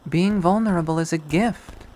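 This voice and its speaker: A man voicing a dominant woman who teases and controls in a soft, coaxing purr, in a visual novel. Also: on the high side, moderate pace, slight background noise, voice pushed up, close to an everyday voice. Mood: soothing, controlling. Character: Violet, purring dominant woman, teasing and controlling.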